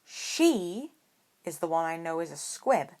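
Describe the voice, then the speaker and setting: A woman's voice: lowish voice, big pitch swings, slow, darkish tone, full character voice. A woman voicing a stubborn, sarcastic schoolboy wizard with dry retorts in an audiobook-style story. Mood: defensive, casual.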